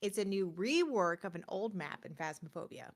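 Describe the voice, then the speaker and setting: A woman hosting a gaming show in casual chat, often slipping into animated quotes and asides. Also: mid-range voice, normal speed. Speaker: host of a gaming news show. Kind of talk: casual chat about video games.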